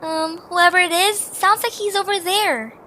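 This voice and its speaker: A woman's voice: extremely high pitch, fairly even intonation, a little slow, bright, forceful tone, exaggerated voice. A woman voicing a small young female creature, curious, impulsive and a little impatient, in an amateur audio drama. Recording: noticeable background noise.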